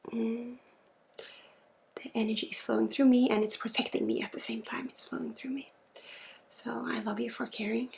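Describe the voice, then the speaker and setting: A woman gives a spiritual ASMR healing session, speaking softly and informally with pauses and often dropping into a breathy whisper. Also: on the high side, little pitch movement, slight background noise. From Sweden. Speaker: spiritual healer and ASMR host.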